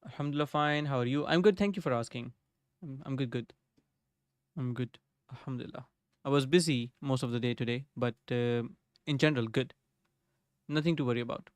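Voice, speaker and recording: A man's voice: mid-range voice, fairly quick, long pauses, clean tone, dry recording. A young man streaming live from his home desk, thinking aloud as he codes and greeting viewers in the chat. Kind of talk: casual live coding chat. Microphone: desk microphone.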